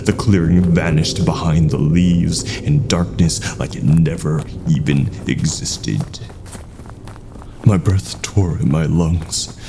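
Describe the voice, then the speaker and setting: A man narrating a scripted horror story in a dramatic, suspenseful way with drawn-out pauses. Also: very deep voice, steady tone, creaky, background noise.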